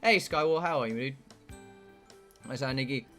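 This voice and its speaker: A man's voice: mid-range voice, normal speed, sharp tone, soft background music. A British man live streaming a game, rambling casually to his viewers in a blunt, sweary, joking way. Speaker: live streamer playing a visual novel.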